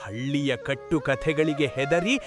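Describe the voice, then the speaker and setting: A man's voice: on the high side, a little slow, soft background music, lightly acted. A man playing an imperious king in a cartoon, theatrical and dramatic. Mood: scornful, imperious. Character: arrogant king, later a distraught remorseful father.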